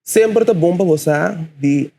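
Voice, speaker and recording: A man's voice: sits high, normal speed, mellow. A man in his twenties, a poet guest on a radio show, answering hesitantly and searching for words. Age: 20s. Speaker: spoken word poet guest. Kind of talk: casual interview answers.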